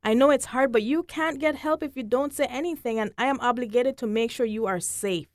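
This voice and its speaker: A woman playing a patient, reassuring child therapist in a staged drama, measured and gently probing. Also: a little high, dry recording, studio quality, natural voice. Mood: concerned, probing.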